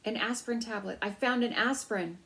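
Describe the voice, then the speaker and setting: A woman's voice: fairly high pitch, a little fast, creaky, a bit bright, voice pushed up, poor audio. A woman voicing an eager, determined young boy mouse in a children's storybook, insistent and pleading. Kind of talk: read-aloud children's storybook.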